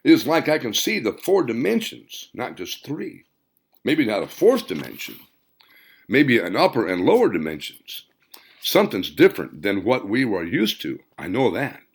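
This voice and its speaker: A man voicing an earnest, wondering woman in an audiobook, speaking deliberately. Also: medium pitch, huge pitch swings, slightly rough, full character voice. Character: earnest woman sensing strange new powers. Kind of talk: audiobook character dialogue.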